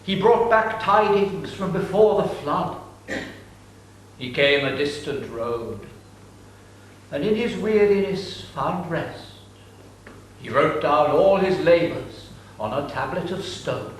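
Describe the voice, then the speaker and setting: A man reciting an ancient epic in a slow, grave, declaiming way. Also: a little high, animated, distant mic. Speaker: reader. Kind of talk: dramatic reading of an ancient epic. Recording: echoey room, voice set back from mic with faint noise.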